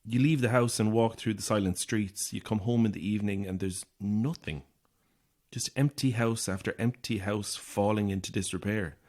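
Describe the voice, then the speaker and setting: A man's voice: on the low side, fairly even intonation, moderate pace, darkish tone, natural voice. An actor playing an anxious, isolated young Irish man, edgy and sardonic, in a naturalistic audio horror drama. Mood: uneasy, subdued.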